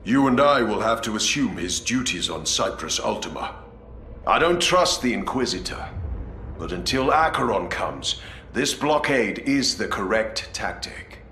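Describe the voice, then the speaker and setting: A man's voice: lowish voice, lively intonation, measured pace, sharp tone, exaggerated voice. A man playing a resolute space marine commander in a video game, speaking formally and with authority. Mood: wary, measured. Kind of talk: dramatic video game cutscene acting. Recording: echoey, faint background noise.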